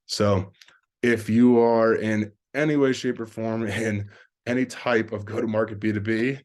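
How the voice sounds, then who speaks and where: A man's voice: fairly low pitch, steady tone, unhurried, a little mellow. A man giving an informal spoken review as a sales professional, earnest and rambling with plenty of business jargon.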